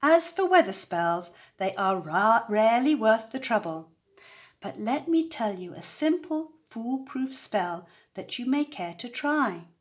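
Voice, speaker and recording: Woman playing an old witch grandmother, storybook, kindly, wise, emphatic, slow, lifted pitch. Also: big pitch swings. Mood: wise, advising. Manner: emphatic, measured, advising.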